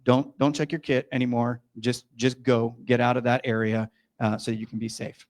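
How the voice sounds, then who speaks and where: Man, mid-pitched, plain-spoken emergency official briefing residents. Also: little pitch movement, moderate pace. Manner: plain-spoken, instructive, urgent at times. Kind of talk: public briefing at community meeting. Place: community meeting room.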